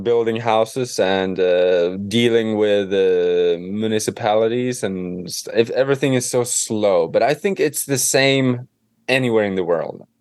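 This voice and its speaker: Low-voiced Swedish man, relaxed. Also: smooth, no echo. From Sweden. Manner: relaxed, loose, leans on fillers. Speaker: guest. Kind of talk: casual interview. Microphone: remote call.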